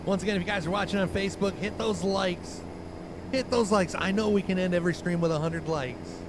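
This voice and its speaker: A man's voice: high voice, brisk, noisy background. A man hosting a live stream while driving in a truck game, chatting casually with blunt talk and lots of fillers. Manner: rambling, filler-heavy, blunt.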